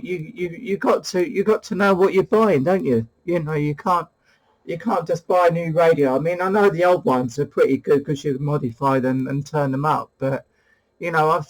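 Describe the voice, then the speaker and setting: A man's voice: on the high side, low-quality recording. A British man hosting a CB radio video, chatting casually and hesitantly, often repeating his phrases. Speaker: host of a CB radio review video. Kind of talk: casual two-way radio chat.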